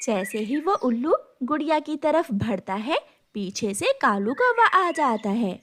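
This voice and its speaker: A woman's voice: sits high, big pitch swings, unhurried, a bit bright. A woman narrating a scripted cartoon story for the listener.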